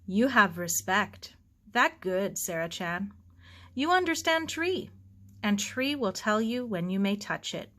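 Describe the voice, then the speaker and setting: A woman voicing a calm, devout elderly Japanese gardener who speaks slowly in broken English. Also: mid-range voice. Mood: calm, instructive. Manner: slow, broken English with dropped articles.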